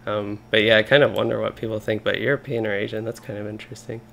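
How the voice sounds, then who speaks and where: Low gravelly man streaming a game. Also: flat delivery, fast, fairly bright tone, slight background noise. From Kentucky, US. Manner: thinking aloud, rambling with fillers and restarts. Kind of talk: casual gameplay commentary.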